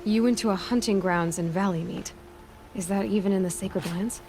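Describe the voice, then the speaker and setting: A young female hunter from a video game, played by an actress, speaks in a guarded, determined way. Her pitch sits at an ordinary middle level and she is blunt and direct, with faint ambient noise behind her. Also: fairly even intonation, normal speed, darkish tone, close to an everyday voice. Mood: wry, cool. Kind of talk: naturalistic video game dialogue acting.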